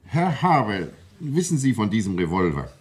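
A man's voice: fairly low pitch, big pitch swings, moderate pace. A man playing a commanding coroner in a German radio crime drama, formal and insistent in his questioning. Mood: formal, businesslike. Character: commanding male coroner questioning witnesses at an inquest.

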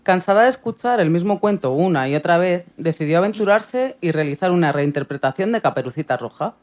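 A woman's voice: fairly low pitch, a little mellow, muffled recording. A woman reading scripted narration as a radio co-host. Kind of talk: scripted narration, read book review.

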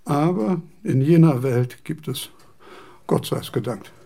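An aged philosopher in a radio drama, frail and fussy. His speech is gravelly and slow, with a dark, husky tone. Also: a little high, animated, full character voice. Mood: fretful. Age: older. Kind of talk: radio play acting.

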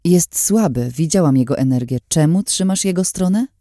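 A woman reader plays an anxious young heroine in an audiobook. She sounds urgent and emotional, with a raised pitch and a soft, mellow tone, recorded close and dry. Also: expressive, normal speed, no echo, natural voice. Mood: probing. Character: earnest young woman, anxious, questioning, tearful daughter. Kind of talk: dramatised audiobook narration.

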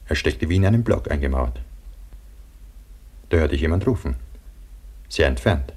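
An actor playing a frightened man buried alive under avalanche snow, halting and brooding, in a German radio play. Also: extremely low pitch, animated, darkish tone, slightly rough, heavily acted. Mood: haunted, numb. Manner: halting, brooding inner narration breaking into desperate calls. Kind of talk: German radio play acting, monologue narration and dialogue.

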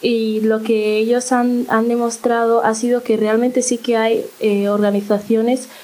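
A woman speaking at a public talk, measured and halting, pausing often with "eh". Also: fairly high pitch, flat delivery, warm tone, poor audio. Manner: halting and measured, with pauses and "eh" fillers. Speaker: panel speaker.

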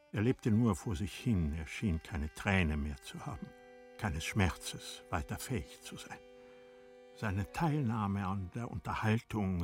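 A man narrating a literary story in a measured, solemn way, with a husky, breathy voice. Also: sits low.

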